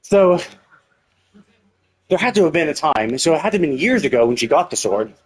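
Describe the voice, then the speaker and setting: A man's voice: fairly high pitch, very animated, brisk, husky, rough recording. A man livestreaming casual commentary on a game's story, thinking aloud in a rambling, opinionated way. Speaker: live streamer.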